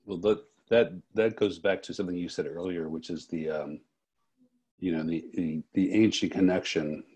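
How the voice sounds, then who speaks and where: A male interviewer with a deep, rather rough-edged husky voice. He is thoughtful and conversational, feeling his way into questions with halting, repeated words. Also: steady tone, darkish tone. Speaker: interviewer, festival co-director.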